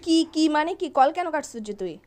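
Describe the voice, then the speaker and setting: A woman's voice: sits high, sing-song, quick pace, darkish tone. A young woman with a Chittagonian Bangladeshi accent playing an angry, nagging girlfriend in a comic phone argument, scolding and threatening over and over. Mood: indignant.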